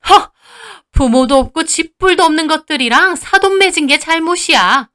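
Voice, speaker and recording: A woman's voice: high voice, animated, moderate pace, sharp tone, pitched above the performer's own voice. A woman voicing a furious, contemptuous older mother-in-law in a dramatized story, scolding harshly. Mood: indignant scorn. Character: furious, spiteful older mother-in-law berating her daughter-in-law.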